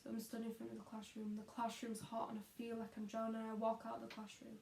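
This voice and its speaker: Creaky-voiced, disillusioned female teacher, acted by a woman. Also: on the high side, completely flat delivery, fast talker, a little mellow, a little hiss or hum. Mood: resolute. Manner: introspective, confiding, swearing. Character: Charlotte, disillusioned, weary young female schoolteacher. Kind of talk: dramatic stage monologue. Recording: echoey room.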